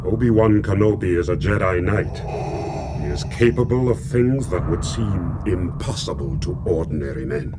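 An actor playing an imperious dark lord in a radio drama, speaking slowly and ominously. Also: male voice, deep voice, lively intonation, heavy background noise. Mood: cold. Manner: slow, solemn, ominous. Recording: radio drama with loud music score.

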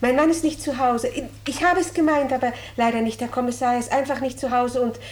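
An actress playing a reproachful, anxious middle-class housewife in 1950s German radio drama style. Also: female voice, high-pitched, fairly even intonation, a little fast, lightly acted. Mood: nervous. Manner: questioning, reproachful. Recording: noisy vintage 1950s radio recording.

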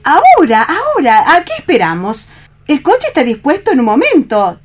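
A woman's voice: sits high, huge pitch swings, normal speed. A woman playing a passionate, domineering woman adventurer in a radio play reading, with a theatrical, coaxing manner. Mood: urgent, eager.

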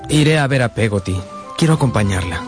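A man's voice: on the low side, lively intonation, fairly quick, music in the background, lightly acted. An actor in a radio drama playing an earnest, sensitive young man who narrates his own life with feeling. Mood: concerned.

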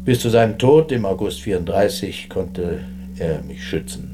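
An actor playing a weary, proud retired German army chief with dry, dismissive, clipped remarks in a radio drama. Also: male voice, low voice, lively intonation, moderate pace, music in the background. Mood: dry, matter-of-fact.

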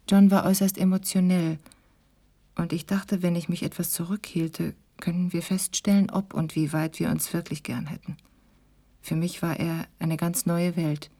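A woman's voice: lowish voice, fairly even intonation, normal speed, creaky, dark tone. An actress playing a candid, reflective woman reading out her confessional letter to a lover in a radio play. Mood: earnest, reflective. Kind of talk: letter read in radio drama.

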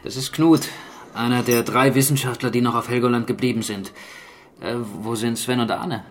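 Actor as a brusque male archaeologist, mid-pitched. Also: fairly even intonation, moderate pace, slight background noise, close to an everyday voice. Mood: matter-of-fact. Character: gruff, no-nonsense male archaeologist, protective leader. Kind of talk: naturalistic German radio drama acting.

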